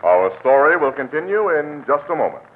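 A man's voice: a little high, very animated, fairly quick. An American man announcing and reading an ad in 1940s radio style, with an emphatic, declamatory sales delivery. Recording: tinny, hissy old radio broadcast.

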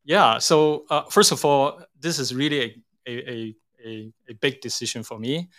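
A middle-aged man with a Hong Kong accent talks as an interview guest. His pitch is average for a man. He explains things conversationally, pausing and rephrasing as he goes. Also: a bit bright. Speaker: interview guest, tech company CEO.

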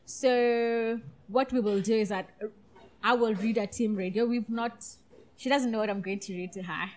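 A woman co-hosting a casual podcast chat, talking in a giggly, animated way. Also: a little high, moderate pace. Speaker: podcast co-host.

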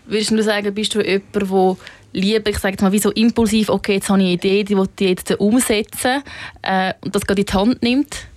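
Flat-toned Swiss woman interviewer. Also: medium pitch, a little fast, dry recording. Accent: Swiss German. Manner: flat, tag questions with "oder?". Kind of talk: casual interview questions.

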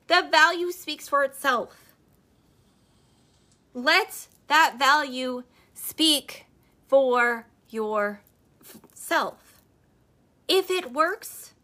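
A woman hosting a podcast, giving emphatic, repetitive business advice straight to her listeners, her voice slightly hoarse. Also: sits high, drawn-out words, long pauses, sharp tone. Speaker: podcast host and network marketer. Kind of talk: motivational business talk on a live stream.

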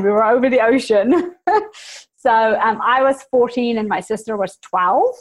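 Chatty woman, South African accent. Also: medium pitch. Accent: South African with New Zealand influence. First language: Afrikaans. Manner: chatty and candid, laughs at her own jokes. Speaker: podcast guest. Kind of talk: casual conversational storytelling.

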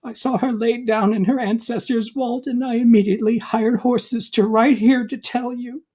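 A man's voice: steady tone, moderate pace, warm tone, husky. A man voicing an earnest, worried young servant in a stage tragedy, pleading and deferential. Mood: sorrowful, apologetic. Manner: high-pitched, pleading, deferential. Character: earnest, worried young male servant bearing bad news. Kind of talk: dramatic reading of a modernised stage tragedy.

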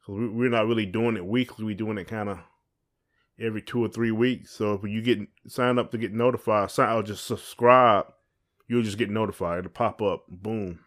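A man with a fairly low voice co-hosts a casual podcast. He talks in a rambling way, circling back and repeating phrases as he thinks out loud. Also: fairly even intonation, moderate pace. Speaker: podcast co-host. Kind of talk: casual conversational chat.

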